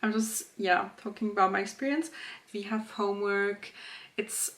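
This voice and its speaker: A woman's voice: mid-range voice, normal speed. A woman in her late twenties from Switzerland, chatting casually in a day-in-my-life vlog at home, with fillers and small restarts. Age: 20s. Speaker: vlogger. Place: home kitchen.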